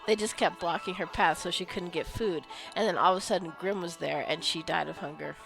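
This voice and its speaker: A woman's voice: on the low side, a little fast, creaky, music in the background, poor audio. Chatty woman doing casual gameplay commentary. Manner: playfully scolding, talks to the game characters. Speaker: gamer narrating her own gameplay video. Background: life simulation game being played.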